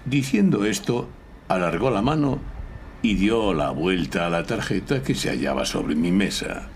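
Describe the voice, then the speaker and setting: A dry lawyer telling his own case like noir parody in an audio drama. The voice is deep and gravelly, measured yet swooping in pitch, over some background noise. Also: lively intonation. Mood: dry, narrating. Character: dry, self-important male lawyer narrating his case.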